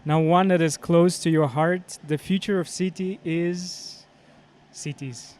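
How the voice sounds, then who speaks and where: A male host asking short interview questions in a brisk, encouraging way. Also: on the high side, steady tone, darkish tone, slight background noise.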